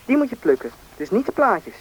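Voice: mid-range voice, sing-song, brisk, breathy edge. A performer playing a bossy, knowing country boy, confident and impatient, in a radio drama. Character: bossy, knowing boy who grew up in the taiga. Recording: noisy old radio play recording.

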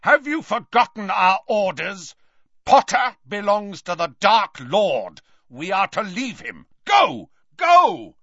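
Guy doing a sneering angry wizard man in an audiobook, shouty and taunting, forceful sharp voice, very dry sound. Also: high-pitched, very animated, takes it slowly, gravelly, dry recording, dull audio, voice pushed up, full character voice. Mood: urgent, commanding. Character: sneering, furious dark wizard man, adult, contemptuous.

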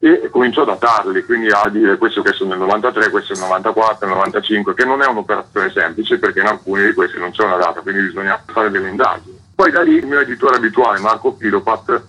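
A man's voice: on the low side, quick pace, hardly any pauses, bright, forceful tone, low-quality recording. A man, a guest author talking casually and discursively in an interview. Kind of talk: casual interview talk about own book.

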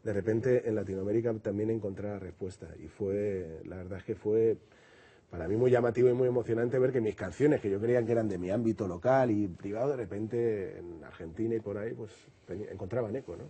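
A singer-songwriter from Madrid with a Madrid Spanish accent, talking reflectively in an interview and often restarting and repeating words. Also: male voice, on the low side, brisk, mellow, husky. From Madrid, Spain. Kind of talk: relaxed interview conversation.

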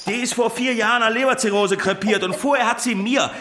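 An agitated man with a high voice, accusing and insistent, in a radio drama. There is clear noise around him. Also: brisk. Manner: insistent, urgent. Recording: noisy room with clicks.

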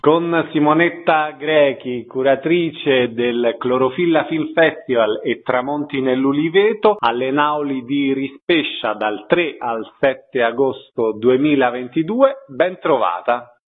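A man's voice: medium pitch, slow, hardly any pauses, faint music behind. A man hosting a radio interview, announcing event details and putting questions to guests. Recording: thin phone-like band-limited sound.

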